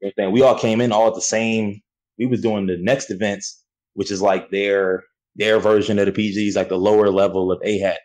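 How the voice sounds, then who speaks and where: A young man with a low voice talks in a loose, slangy way. He keeps dropping "you know what I'm saying" as he tells his story, and his delivery is relaxed and unhurried. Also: poor audio. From United States. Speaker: battle rapper guest. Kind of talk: casual interview chat.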